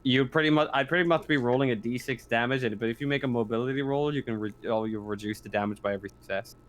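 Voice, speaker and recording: A man's voice: mid-range voice, quick pace, a little hiss or hum. A man running a tabletop game as game master, improvising his narration and often stammering or revising his phrases as he goes.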